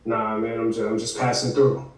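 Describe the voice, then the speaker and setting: A man's voice: fairly low pitch, level tone, moderate pace, recorded from a distance, close to an everyday voice. An actor playing an evasive, nervous teenage boy with slangy, hedging talk in a table read. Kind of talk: virtual table read of a TV pilot script. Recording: very echoey room.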